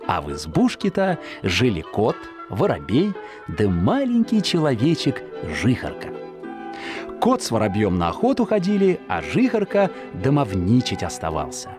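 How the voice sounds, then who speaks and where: A woman's voice: very deep voice, huge pitch swings, normal speed, over music. A woman storyteller narrating a children's fairy tale in a folksy, theatrical, singsong way.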